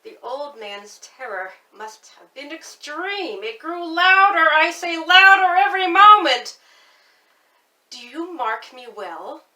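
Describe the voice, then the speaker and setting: A woman giving a dramatic, spooky reading as a nervous, obsessive mad murderer narrating his crime, swinging between hushed and intense delivery. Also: extremely high pitch, big pitch swings, far from the mic, poor audio. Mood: agitated, manic. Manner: theatrical, swinging from hushed to intense, deliberate emphasis. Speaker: storyteller reading a classic horror tale aloud.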